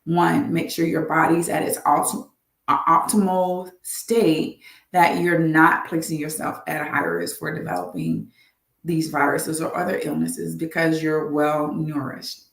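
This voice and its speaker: A woman's voice: low-pitched, measured pace, roomy sound. A woman doctor hosting a live health talk, earnest and repeating her advice for emphasis.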